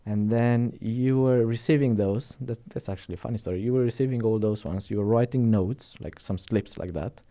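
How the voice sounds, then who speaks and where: A man's voice: lowish voice, a little fast, few pauses, warm tone. A man from Romania with a Romanian accent, chatting as a podcast guest and telling stories about his career, often restarting and adding "right?". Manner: chatty, storytelling, frequent restarts and "right?" tags. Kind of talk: casual interview chat.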